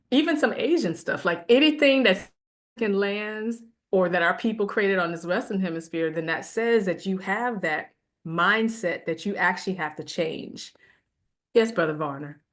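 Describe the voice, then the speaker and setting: A woman lecturing a class in a casual, conversational way, animated and laughing at times. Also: mid-range voice, unhurried.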